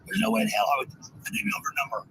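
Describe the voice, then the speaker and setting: A woman with a gravelly, creaky voice, defiant and swearing freely in a heated livestream rant. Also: medium pitch, lively intonation, fast talker, noisy background. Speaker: parent.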